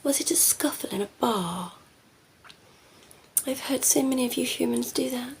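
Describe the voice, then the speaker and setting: A woman playing a cheeky, flirtatious female vampire in a soft, teasing ASMR roleplay, often slipping into whispers. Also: high-pitched, moderate pace, vocal fry, a little hiss or hum, pitched above the performer's own voice. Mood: curious teasing.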